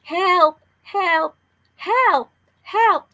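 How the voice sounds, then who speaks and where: A woman's voice: very high voice, very slow, pushed and bright, voice pushed up, exaggerated voice. A woman voicing a scared little girl in a children's storybook, pleading for help. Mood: panicked. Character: frightened little girl lost in a dark cave.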